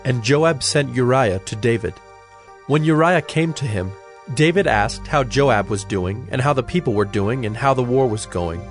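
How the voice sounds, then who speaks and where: Man narrating bible story, medium pitch, formal and measured, music playing under him. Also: animated. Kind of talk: dramatized scripture narration.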